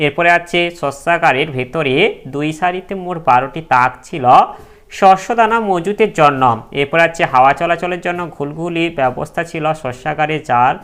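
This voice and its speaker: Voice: medium pitch, a bit bright, clear, resonant tone. A teacher reads exam questions and answers aloud as a lesson, instructing students directly.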